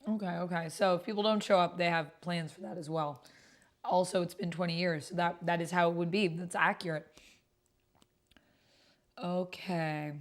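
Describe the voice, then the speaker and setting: A woman with a slightly low voice hosts a casual livestream. She moves between reading messages and prompts aloud and commenting on them in a chatty, conversational way. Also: fairly even intonation, moderate pace, long pauses. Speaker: livestream host and tabletop roleplay player.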